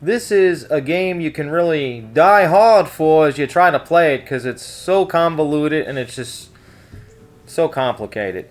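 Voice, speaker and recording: Higher-pitched man, casual rambling reviewer. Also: expressive, measured pace, faint music behind. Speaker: video game reviewer.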